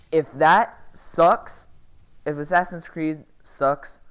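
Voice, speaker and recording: A man's voice: medium pitch, fairly bright tone, clean tone. A man giving casual, rambling commentary as a gaming vlogger, often hesitating and correcting himself in slangy speech.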